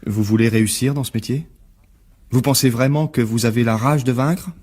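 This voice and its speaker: An actor playing a smug, scheming blackmailer of a journalist in a radio mystery drama, insinuating and falsely polite. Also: male voice, mid-range voice, normal speed, a little mellow, natural voice. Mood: insinuating, menacing.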